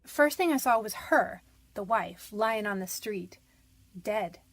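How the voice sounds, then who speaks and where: A woman's voice: medium pitch, lively intonation, slow pace. An actress playing a frightened but defiant young convicted woman in a radio detective drama, defensive, pleading and slangy. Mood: anxious recounting.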